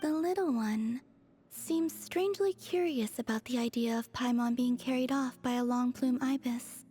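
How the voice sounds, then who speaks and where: A woman voicing a serene young moon goddess in a video game, soft-spoken, composed and unhurried. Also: on the high side, close to an everyday voice. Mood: calm, teasing. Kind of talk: animated video game voice acting. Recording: faint music behind the voice. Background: faint game music.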